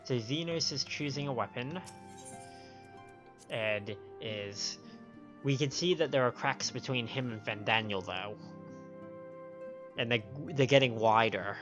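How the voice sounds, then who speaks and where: Sniffly man streaming, slightly low-pitched and dull-sounding. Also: unhurried, pauses often. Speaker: game livestream host. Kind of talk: casual stream chat. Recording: dull lo-fi stream audio, faint music.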